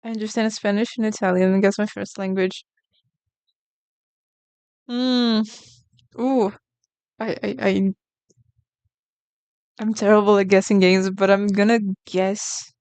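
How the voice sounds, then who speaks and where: Woman, casual streamer chat, smooth resonant voice, mid pitch. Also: fairly even intonation, unhurried, long pauses, darkish tone, dry recording. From Brazil. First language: Portuguese. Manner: chatty, reacts to chat messages. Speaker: artist streamer. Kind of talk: casual live-stream chat while drawing.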